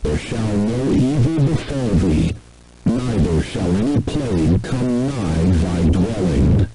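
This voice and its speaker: A man performing an ominous, solemn voice reciting scripture slowly for a horror tape, husky and dark. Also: fairly low pitch, lively intonation, faint music behind, exaggerated voice, rough recording.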